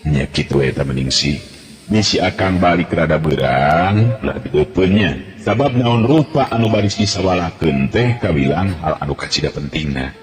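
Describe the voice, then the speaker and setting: A male storyteller voicing a confident young martial-arts teacher, steady and reassuring, on an old, echoey radio recording. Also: low-pitched, normal speed, soft background music.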